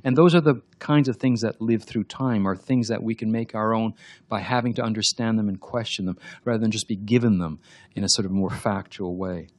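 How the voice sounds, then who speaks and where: A novelist whose first language is French, answering audience questions in a reflective way with frequent restarts. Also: male voice, on the low side, fairly quick, darkish tone, no room sound. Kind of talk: talk and Q&A.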